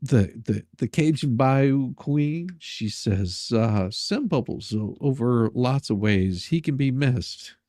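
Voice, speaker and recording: A man's voice: mid-range voice, lively intonation, takes it slowly, hardly any pauses, dark tone, dry recording, studio quality. A man hosting a live stream in casual chat, reading messages aloud and commenting sarcastically, often repeating words as he goes.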